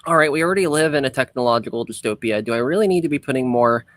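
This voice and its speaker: A man's voice: medium pitch, lively intonation, very fast, fairly bright tone, no room sound, studio quality. A man hosting a podcast in casual discussion, talking in a reflective, self-deprecating way. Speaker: podcast host.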